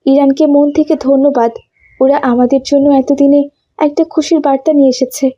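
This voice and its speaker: A woman's voice: high voice, fairly even intonation, brisk, mellow, no room sound, highs cut off, close to an everyday voice. A woman playing a hopeful, devout refugee neighbour woman in a cartoon story. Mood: hopeful, fervent.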